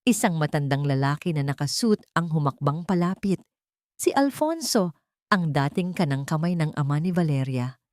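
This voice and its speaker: A woman's voice: low-pitched, lively intonation, moderate pace, darkish tone, no room sound, studio quality. A woman narrating a dramatic romance audiobook from a script.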